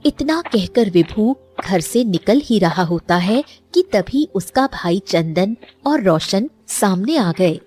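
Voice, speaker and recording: A woman's voice: medium pitch, animated, slow pace, few pauses, darkish tone, soft background music. A woman narrating a scripted story in storybook style.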